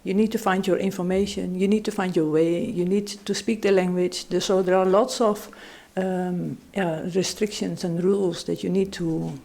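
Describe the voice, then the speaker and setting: A woman on a studio talk show panel, explaining her views thoughtfully with frequent pauses and fillers. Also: on the low side, fairly even intonation, creaky, dark tone. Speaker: panel guest, medical anthropologist.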